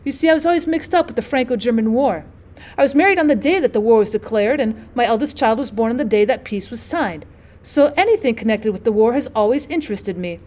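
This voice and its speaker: Storybook hostess, played by a female narrator: older, upper-class, high voice, forever disapproving. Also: animated, fast talker, a little mellow, close to an everyday voice. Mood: self-satisfied.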